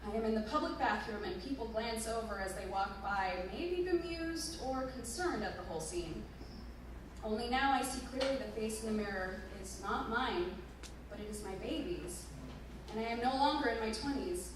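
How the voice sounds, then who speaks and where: A woman performing a dramatic first-person story reading live, with expressive, deliberate phrasing. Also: a little high. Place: live stage reading in a museum hall. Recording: very echoey room, distant mic, noisy.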